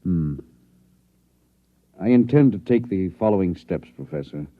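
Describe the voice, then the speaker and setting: An old, narrow-band radio recording carries a head of state. His voice is very deep and deliberate, full of authority with small hesitations, in the manner of a 1950s science-fiction radio play. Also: expressive. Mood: resolute. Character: weighty, decisive president of a future nation. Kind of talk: 1950s radio drama acting. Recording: thin band-limited vintage radio recording.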